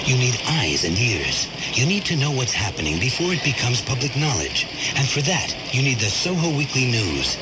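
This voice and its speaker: A man reading a punchy scripted radio commercial from the 1970s, on a hissy old aircheck. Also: medium pitch.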